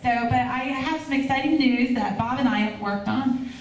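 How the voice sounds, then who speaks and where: A woman's voice: high-pitched, moderate pace. A woman campaign manager making an upbeat, persuasive announcement at a community meeting. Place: community center room. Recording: echoey room, far from the mic, room noise.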